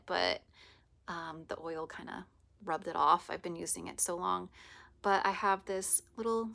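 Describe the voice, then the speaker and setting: A woman with a raspy, hoarse voice after a long day, talking softly and meandering into whispers as she runs a casual spiritual vlog. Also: medium pitch. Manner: soft, meandering, often drops to a whisper. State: hoarse from teaching all day. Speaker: reiki practitioner.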